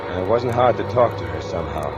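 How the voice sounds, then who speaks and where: An actor playing a nervous killer on the run, narrating in tough colloquial talk in 1940s radio style. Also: male voice, deep voice, animated, fast, very noisy. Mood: guilty, shaken. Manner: colloquial tough-guy talk with tense hesitations. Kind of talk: 1940s radio acting, first-person crime narration. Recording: old radio recording with musical underscore.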